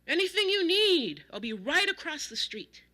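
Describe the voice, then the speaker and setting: Nosy old man neighbor, played by a woman, bellowing, high and forceful, from a comic anecdote. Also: sing-song, normal speed, exaggerated voice. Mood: loud cheer. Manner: bellowing, incredulous. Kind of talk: acted quotes in a spoken anecdote.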